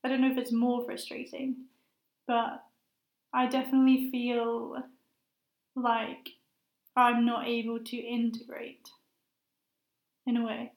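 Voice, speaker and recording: High voiced woman in her 20s, kinda flat and gravelly, lots of ums and likes, echoey room. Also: takes it slowly, pauses often. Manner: hesitant, thoughtful, many fillers. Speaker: guest, master's student. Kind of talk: casual conversational interview. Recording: roomy echo.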